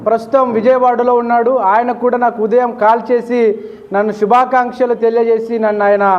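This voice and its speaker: A man's voice: very high-pitched, flat delivery, a little fast. A man speaks as a local party leader. He delivers formal thanks in repeated oratorical phrases. The recording is dull and lo-fi. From Adoni region, Andhra Pradesh, India. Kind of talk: public thank-you speech. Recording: dull, lo-fi sound.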